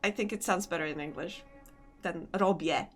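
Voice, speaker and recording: A woman's voice: lowish voice, moderate pace, soft background music. A young woman with a Polish accent chatting casually with her stream chat while gaming, laughing often and answering comments. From Poland.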